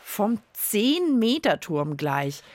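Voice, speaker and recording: A woman's voice: mid-range voice, big pitch swings, drawn-out words, darkish tone. A woman co-hosting a children's science podcast in playful, casual chat.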